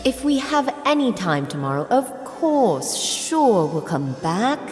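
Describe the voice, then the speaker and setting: A woman plays a catty, flattering female adventurer in a tabletop game. Her speech is slow and exaggerated, swinging widely in pitch. The hall echoes and music plays underneath. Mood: curious, flattering. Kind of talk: improvised tabletop roleplay.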